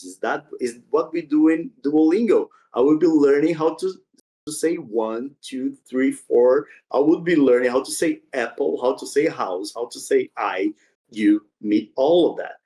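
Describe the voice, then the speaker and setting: A man's voice: medium pitch, darkish tone, low-quality recording. A man whose first language is Portuguese, talking earnestly as a podcast guest, with some non-native phrasing. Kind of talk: casual interview talk.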